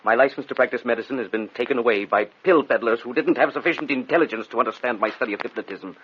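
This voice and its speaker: An actor playing a proud, disgraced physician obsessed with mesmerism, orating theatrically in an old radio drama style. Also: male voice, medium pitch, fast, husky, natural voice. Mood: haughty, irritated. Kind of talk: vintage radio drama acting. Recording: dull old radio recording.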